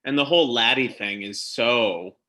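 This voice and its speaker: A man's voice: mid-range voice, moderate pace, bright, forceful tone. A man on a panel giving casual, opinionated film commentary.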